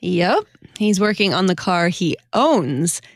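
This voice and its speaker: Woman acting a wry, lively female figment. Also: fairly low pitch, takes it slowly, no echo, clean studio sound, heavily acted. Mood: wry, pointed. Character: teasing, matter-of-fact female figment ghost guiding a sinner. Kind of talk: comedic radio play acting.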